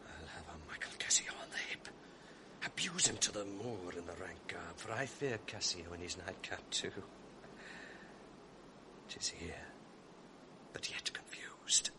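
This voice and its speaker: An actor playing a scheming, bitter villain, sly and mocking, sinking into venomous whispers in a classic radio drama. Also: male voice, low voice, animated, unhurried, long pauses. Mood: brooding. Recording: old broadcast with audible noise.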